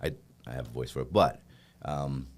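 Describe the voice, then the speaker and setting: A man in his forties with a deep voice, a real estate team leader talking as a podcast guest in a jovial, rambling way. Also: normal speed. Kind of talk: casual podcast conversation.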